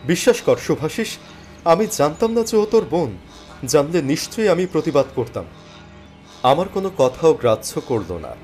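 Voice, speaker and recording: A man voicing a desperate, imploring job seeker in an animated thriller. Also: fairly high pitch, moderate pace, faint music behind. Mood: desperate pleading. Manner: imploring, flustered. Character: desperate, pleading young male job seeker.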